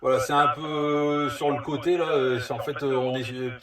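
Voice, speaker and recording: A man's voice: on the high side, fairly even intonation, normal speed, recorded from a distance, crowd noise. Hesitant man in an echoey space, off mic. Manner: rambling, hesitant. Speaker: CB radio operator. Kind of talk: casual two-way radio chat.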